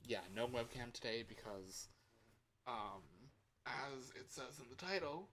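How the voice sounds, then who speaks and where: A man chatting casually to livestream viewers in an excitable, scattered, playful way, with frequent exclamations. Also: medium pitch, a little slow, a little hiss or hum. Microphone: external microphone.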